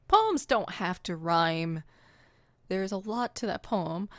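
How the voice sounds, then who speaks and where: A woman's voice: on the low side, creaky, no echo. A woman playing a theatrical, poetic supervillain in an ASMR roleplay, haughty and dramatic, stammering when flustered. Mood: defensive, explaining.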